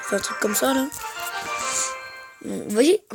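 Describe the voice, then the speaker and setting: A man's voice: extremely high pitch, expressive, slow pace, vocal fry, music in the background, low-quality recording. A man with a head cold giving rambling, hesitant gameplay commentary to his viewers. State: has a head cold, congested. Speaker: gamer.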